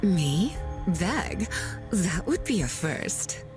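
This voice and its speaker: A woman voicing a seductive, cruel demon huntress in a video game, purring and teasing with drawn-out delivery. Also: on the low side, very animated, heavily acted. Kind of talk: video game character voice acting. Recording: game audio with music behind.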